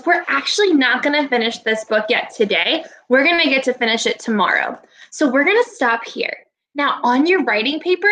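A woman in her twenties, a teacher giving a read-aloud lesson to young children in a deliberate, instructive way. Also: sits high, pushed and bright, rough recording. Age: 20s. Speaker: elementary school teacher. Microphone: laptop webcam at arm's length.

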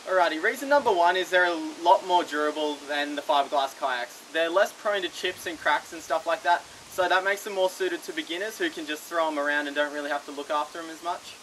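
A young Australian man, a kayak fishing vlogger, giving casual tips to the camera from an outdoor veranda, relaxed and chatty with the odd restart. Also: on the high side, fairly quick, hardly any pauses, slight background noise, rough recording. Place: under a covered veranda outdoors.

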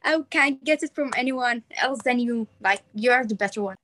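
A young Egyptian woman with an Egyptian accent hosting an interview on a video call, excitable and full of exclamations. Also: fairly high pitch, normal speed, dry recording, clean studio sound. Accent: Egyptian Arabic. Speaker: host. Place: small room indoors. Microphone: laptop webcam on a video call.

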